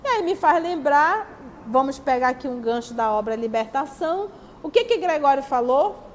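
A woman's voice: sits high, animated, normal speed. A woman with a Brazilian Portuguese accent giving a spiritist study talk, reading passages and answering her own rhetorical questions in a teacherly way. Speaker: spiritist lecturer. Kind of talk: religious study talk, reading and commenting on texts. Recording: long hall reverb, faint background noise.

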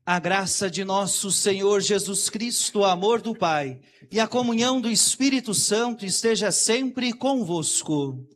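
Brazilian man, visiting priest, high voice, formal liturgical cadence. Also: expressive, unhurried, hardly any pauses. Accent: Brazilian Portuguese. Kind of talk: liturgical opening and homily.

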